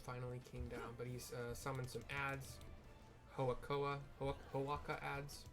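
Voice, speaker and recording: A man giving casual, explanatory game commentary, describing the scene in a halting way with trailing asides. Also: medium pitch, completely flat delivery, music in the background. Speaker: gamer narrating a raid playthrough.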